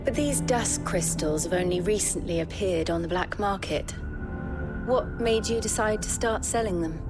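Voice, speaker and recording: A woman's voice: medium pitch, normal speed, over music, close to an everyday voice. Composed, earnest woman acting a determined adventurer. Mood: probing. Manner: direct, earnest. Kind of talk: video game cutscene acting.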